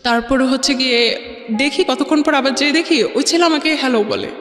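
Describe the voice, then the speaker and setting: A woman's voice: high voice, brisk, a bit bright. A young adult woman with a Bangladeshi accent tells a personal story conversationally, mixing in English words. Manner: mixes English words into her talk. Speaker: guest telling her life story. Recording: roomy reverberant studio, music underneath.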